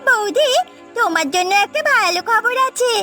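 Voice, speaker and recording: A woman's voice: extremely high pitch, animated, moderate pace, bright, forceful tone, full character voice. A woman voicing a smug, pushy young sister-in-law in a cartoon family drama, with a taunting manner. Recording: background music.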